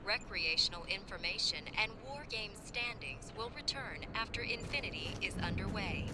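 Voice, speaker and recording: A woman's voice: mid-range voice, natural voice. A woman playing a polite announcer aboard a military starship in a video game, giving formal public-address notices. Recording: very noisy in-game ship announcement.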